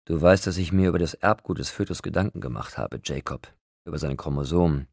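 A thoughtful audiobook doctor, acted out by a man. The low voice is calm and soothing, weighing each idea in a measured, curious way. It is recorded very dry, with some gravel in it. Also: no room sound, heavily acted. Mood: musing. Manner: measured, musing.

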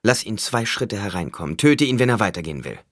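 A man's voice: lowish voice, sing-song, quick pace, a bit bright, no echo, clean studio sound. A man voicing a grieving young male ruler in an audiobook, stern and commanding with a toneless edge.

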